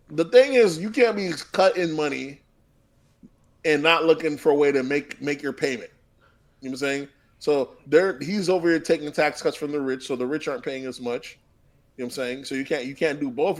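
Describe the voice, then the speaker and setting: A man's voice: a little high, moderate pace. Rambling male podcast co-host. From Haitian heritage. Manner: rambling, repeats words, says "you know what I'm saying". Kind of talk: casual podcast debate.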